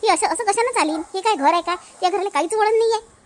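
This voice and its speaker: Casting: aggrieved young wife acted by a woman, extremely high voice, Varhadi Marathi accent, lamenting and nagging, cartoon comedy. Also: fast, slight background noise. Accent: Varhadi Marathi from Maharashtra. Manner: complaining, lamenting. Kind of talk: hobby cartoon comedy dialogue.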